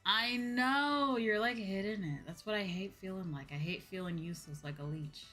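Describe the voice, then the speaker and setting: A young woman chatting casually to viewers on a livestream in an expressive, rambling, self-deprecating way. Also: medium pitch, moderate pace. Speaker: VTuber streamer. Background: faint background music.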